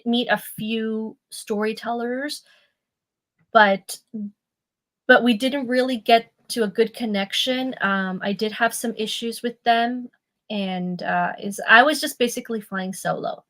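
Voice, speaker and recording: A woman's voice: on the high side, unhurried, a bit bright. A woman from Peru who was raised in Canada, a storyteller who talks in a conversational, stop-start, self-deprecating way as a guest. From born in Peru, raised in Canada. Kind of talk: casual conversation.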